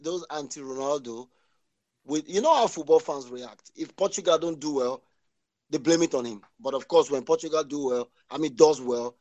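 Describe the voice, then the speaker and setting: A man on a sports podcast, his voice a little high, who talks in halting bursts and restarts words. He often breaks in to agree. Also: darkish tone. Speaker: podcast panelist. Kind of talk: casual sports debate.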